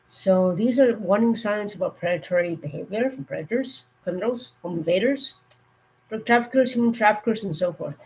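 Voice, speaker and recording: A woman with a cold, her voice going hoarse, giving earnest safety warnings in a rambling, casual live stream. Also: mid-range voice, animated, fairly quick, darkish tone, poor audio.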